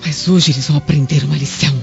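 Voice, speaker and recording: A woman's voice: low-pitched, fairly even intonation, rapid-fire, creaky. Spiteful scheming Roman woman played by an actress. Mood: scornful, plotting. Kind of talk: Brazilian radio drama acting. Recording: dull lo-fi radio drama with music.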